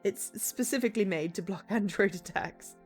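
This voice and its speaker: A woman's voice: medium pitch, moderate pace, darkish tone, soft background music, lightly acted. A woman voicing a tough, suspicious female investigator in a cyberpunk game, speaking bluntly. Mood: amused.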